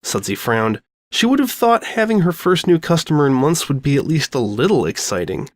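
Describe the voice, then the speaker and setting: A man reading a scripted story aloud as an expressive storyteller. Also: on the high side, moderate pace, no echo.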